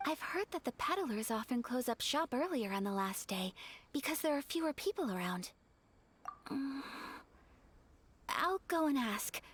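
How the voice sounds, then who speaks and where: A woman playing a gracious, gentle young noblewoman in an anime video game, speaking politely and formally. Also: high voice, sing-song, a little slow, husky, exaggerated voice. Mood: gentle, wistful.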